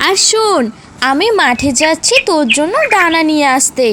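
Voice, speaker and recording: A woman's voice: sits high, animated, moderate pace, fairly bright tone, slight background noise. A woman voicing a worried, protective mother bird in a cartoon, pleading and firmly cautioning. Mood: protective, scolding. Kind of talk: cartoon character dialogue.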